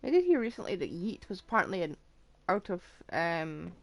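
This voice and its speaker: Playful woman streamer with lively intonation. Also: fairly low pitch, a little fast, slight background noise. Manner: whimsical, playful asides. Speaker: game streamer. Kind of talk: casual playthrough commentary.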